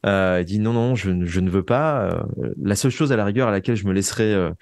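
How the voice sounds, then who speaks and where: Man, lowish pitch, casual interview guest, halting repeated words, dry sound. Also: darkish tone, no echo. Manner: hesitant, repeats words while searching for phrasing. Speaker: interview guest, audio fiction writer.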